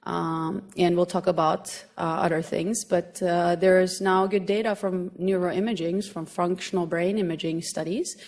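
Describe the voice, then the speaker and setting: A woman from Finland with a Swedish accent, giving an academic medical lecture to a room of doctors in an explanatory, gently humorous way with frequent hesitations. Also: on the low side, fairly even intonation, normal speed, few pauses. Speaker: grand rounds lecturer, pediatric gastroenterologist.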